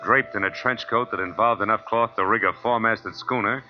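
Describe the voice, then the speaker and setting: A low-voiced, hard-boiled male detective, played by an actor, sardonic and blunt. He speaks in clipped 1940s radio noir fashion, on a dull old broadcast recording with music behind. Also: a little fast, lightly acted. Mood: wry narration. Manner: clipped, sardonic tough-guy delivery. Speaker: lead actor and narrator. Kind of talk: 1940s radio detective acting, first-person narration.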